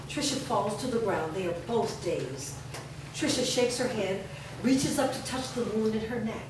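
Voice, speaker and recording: A woman reading scripted stage directions aloud as narrator of a staged reading. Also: mid-range voice. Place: large echoey room, recorded from afar. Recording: echoey room, distant mic, noisy.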